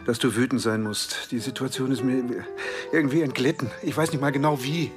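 An actor playing an evasive, flustered, guilty friend in a German radio crime drama, halting and apologetic. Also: male voice, medium pitch, fairly even intonation, music in the background, lightly acted.

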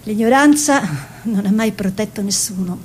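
A woman's voice: mid-range voice, normal speed, a little mellow, slight background noise, close to an everyday voice. An actress playing a proud, anxious painter's wife and mother, once a peasant model, in an emotive, hesitant radio drama. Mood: bitter self-reproach. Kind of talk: intimate theatrical radio drama, reminiscing dialogue.

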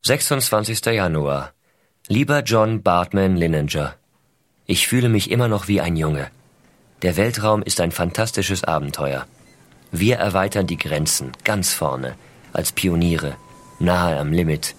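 A man's voice: low voice, expressive, a little slow. An actor playing an American astronaut father who reads out his affectionate letters to his small son in an intimate storytelling way. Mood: proud, earnest. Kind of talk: dramatized reading of letters.

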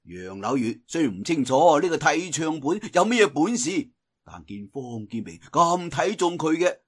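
A man's voice: a little high, sing-song, normal speed, breathy edge. A man with a Cantonese accent telling a story in a dramatic traditional audiobook style.

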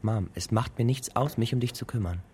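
An actor playing a patient, dutiful young son in a radio drama, speaking in a measured, subdued way. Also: male voice, mid-range voice, darkish tone, slightly rough. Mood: patient, resigned. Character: patient, dutiful son caring for his sick mother.

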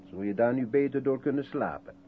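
An actor playing a sceptical, probing South African man with dry irony and measured questioning, in a 1970s Dutch radio drama. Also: medium pitch, close to an everyday voice. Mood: probing, ironic. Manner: questioning, dryly ironic, measured. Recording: muffled, noisy 1970s radio recording.

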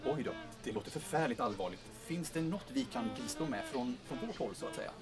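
A man's voice: mid-range voice, expressive, music in the background, lightly acted. A man acting a polite, timid hotel receptionist in an audio drama, deferential and hesitant. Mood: courteous.

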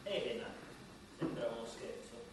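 A man's voice: medium pitch, expressive, normal speed, lightly acted. A man playing an earnest storyteller in a comic radio drama, recorded from afar with echo and heavy noise. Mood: grave, narrating. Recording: very noisy, echoey, distant live recording.

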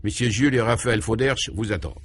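A man's voice: on the low side, fast, slight background noise, close to an everyday voice. Man playing a formal, courteous doorman. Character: formal, courteous laboratory doorman in full uniform.

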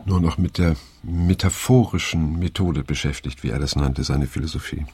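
An actor playing a weary, reflective middle-aged man in naturalistic radio drama, speaking haltingly and pensively with a gravelly, husky voice. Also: a bass voice, a little mellow, exaggerated voice. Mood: resigned, somber. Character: weary middle-aged male survivor of a hippie circle, reflective.

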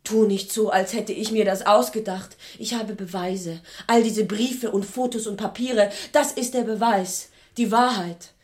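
An actress playing an upset young adult daughter, insistent and accusing, in a dramatic production. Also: female voice, mid-range voice, fairly even intonation, unhurried, few pauses, darkish tone, lightly acted. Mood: bitter, furious. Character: Faith, upset young adult daughter confronting her secretive mother. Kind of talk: stage-style drama acting.

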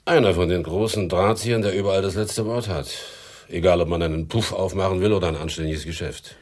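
An actor playing a world-weary, wry private detective, narrating and acting in a hard-boiled radio drama with laconic, dry delivery. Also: male voice, low voice, fairly even intonation, brisk, a little mellow. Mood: dry, sceptical. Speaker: narrator and lead character.

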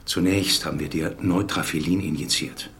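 An actor playing a brisk, paternal middle-aged doctor in a radio drama, gravelly and admonishing as he explains things to a patient. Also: low-pitched, fairly even intonation, a bit bright, slight background noise. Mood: earnest, explanatory.

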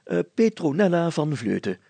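A man's voice: on the high side, sing-song, normal speed, creaky, warm tone, breathy edge, no room sound, pitched above the performer's own voice, heavily acted. A man voicing a fussy, officious village mayor in a story, blustering and easily exasperated. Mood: kindly, official.

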